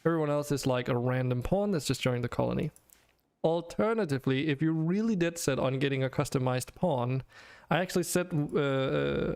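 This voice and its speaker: A man's voice: a little high, creaky, a little mellow, smooth. A young Danish man chatting to his viewers while streaming a game, rambling with many fillers and restarts. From Denmark. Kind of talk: casual game stream talk to chat.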